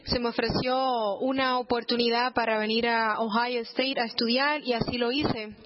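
High-voiced Puerto Rican woman giving testimony. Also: steady tone, normal speed, muffled recording, noisy background. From Puerto Rico. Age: 30s or older. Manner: earnest, emphatic repetition. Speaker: church testimony speaker. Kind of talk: personal testimony to a congregation.

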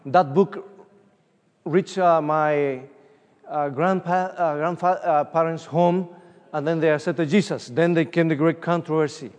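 A man's voice: on the high side, a little slow. Spanish-accented man preaching in a hall. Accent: Uruguayan Spanish. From Uruguay. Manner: animated, storytelling, frequent fillers. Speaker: evangelist. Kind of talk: conference sermon with personal stories. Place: large conference hall. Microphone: room microphone from a distance. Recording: distant voice with long hall reverb.

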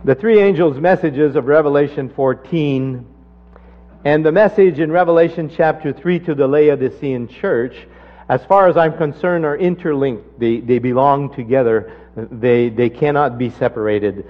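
A man preaching a sermon and telling a personal testimony, climbing sharply in pitch when he drives a point home. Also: moderate pace. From Canada. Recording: dull lo-fi sermon recording.

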